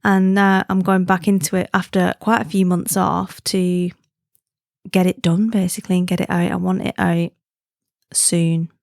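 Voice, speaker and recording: A woman's voice: lowish voice, level tone, moderate pace, creaky, darkish tone, no echo, studio quality. A woman from the UK hosting her own podcast, chatting in a friendly, easygoing way and laughing easily. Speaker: podcast host. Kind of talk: casual chatty podcast monologue.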